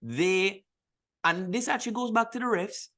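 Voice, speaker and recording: A man's voice: high voice, moderate pace, pushed and bright. A man co-hosting a casual football podcast, talking in a slangy, emphatic way and repeating words for stress. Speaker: podcast co-host.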